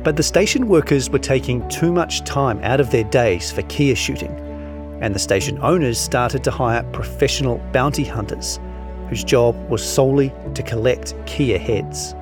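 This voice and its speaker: A man's voice: medium pitch, moderate pace. New man narrating over music. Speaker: narrator of a long-form article. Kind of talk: scripted narration of journalism.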